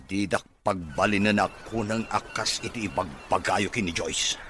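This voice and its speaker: An actor playing a bitter, wealthy, distrustful son in a radio drama, brusque and scornful in manner. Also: male voice, sits low, normal speed, noisy background, natural voice. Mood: angry, threatening.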